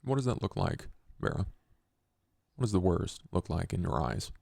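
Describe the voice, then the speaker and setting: A man playing a formal, loyal, reflective vampire with measured, courtly wording in a tabletop roleplay podcast. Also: sits low, very animated, gravelly, darkish tone, no echo, clean studio sound, full character voice. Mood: solemn, loyal.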